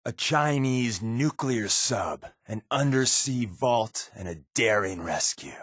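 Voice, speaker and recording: A man voicing a wry, secretive spy companion in a video game, with casual, sardonic, deadpan quips. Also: mid-range voice, slow, no room sound, clean studio sound. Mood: impressed, probing. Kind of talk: game companion dialogue lines.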